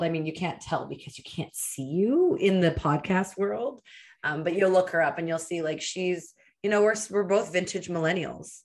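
Lower-voiced woman hosting a casual podcast. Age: 30s. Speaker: podcast host. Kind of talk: casual conversational interviewing.

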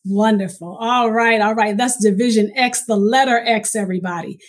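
A woman's voice: on the high side, moderate pace. A woman hosting a live radio interview, speaking in an affirming, encouraging way as she questions her guest. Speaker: radio show host and interviewer.

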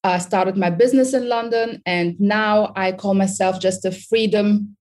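A woman's voice: mid-range voice, steady tone, measured pace, darkish tone. A woman from Sudan, a confidence coach, talking as a guest on a podcast in an upbeat, encouraging way and laughing often. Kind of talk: casual interview chat.

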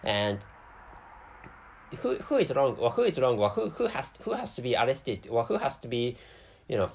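Halting Japanese-accented man, lively pitch. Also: gravelly, slight background noise. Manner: halting, many restarts and self-corrections. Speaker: podcast host. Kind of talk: solo podcast monologue.

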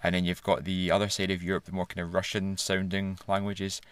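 Low bright Glaswegian man. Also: little pitch movement, a little fast, clear, resonant tone, no room sound. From Scotland. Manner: chatty, laughs often. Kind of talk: casual conversation.

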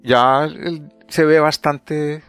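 A Colombian man with a Paisa accent, co-hosting a casual astronomy podcast, easygoing and halting with many restarts. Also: fairly high pitch, fairly even intonation, a bit bright, clean tone, faint music behind. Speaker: astronomy communicator, co-host.

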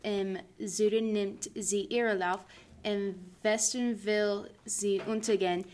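A woman's voice: medium pitch, little pitch movement, takes it slowly, a little mellow. A teenage girl from Indiana with an American accent, a student giving short, hesitant answers in learner German. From Indiana, USA. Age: teens.

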